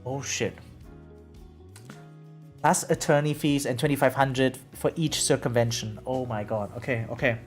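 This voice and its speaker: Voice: mid-range voice, measured pace, pauses often. Exclamatory livestreamer over background music. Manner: animated, exclamatory, checks in with "right?". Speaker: variety streamer. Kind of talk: casual livestream reaction commentary, reading aloud and chatting. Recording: music behind the voice.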